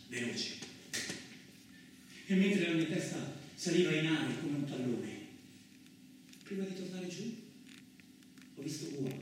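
An actor playing a battered, bewildered truck driver in a theatrical radio monologue, speaking in a halting, repetitive, colloquial way. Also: male voice, fairly high pitch. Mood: dazed recounting. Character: battered, bewildered, rough-spoken Italian truck driver telling his ordeal. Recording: very echoey, distant, clearly noisy recording.

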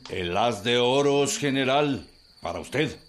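An actor with a Mexican accent playing a deferential, duplicitous army colonel, formal and courteous, in a radio drama. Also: male voice, mid-range voice, expressive, slow, slight background noise.

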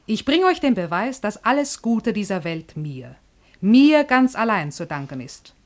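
A woman's voice: medium pitch, very animated, measured pace. An actress playing Poverty as a wrathful, imperious female spirit, declaiming with menace in a classic German radio play. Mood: cold, challenging.

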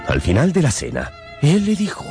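A man's voice: a little high, huge pitch swings, normal speed, gravelly, warm tone. A man narrating a radio drama in a dramatic, scripted storytelling style. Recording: music behind the voice.

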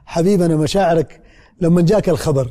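Male interviewer, Hejazi Saudi accent, slightly high mellow voice, very quick, encouraging, full of pious interjections. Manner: encouraging, often echoing the guest. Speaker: TV interviewer, host.

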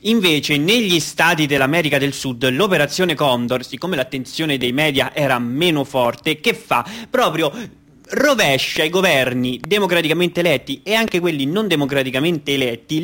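A man's voice: fairly high pitch, animated, moderate pace, a bit bright. Actor as an insistent man explaining. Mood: grave, insistent. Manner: didactic, insistent, checks for understanding. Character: patient but exasperated man explaining dark history as a game. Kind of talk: conversational stage storytelling.

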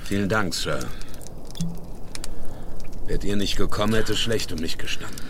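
An actor playing a curt, vengeful, strong westerner around fifty in a German radio play with ambient noise. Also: male voice, fairly low pitch, measured pace. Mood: grim, composed. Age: 50s. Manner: curt, stern.

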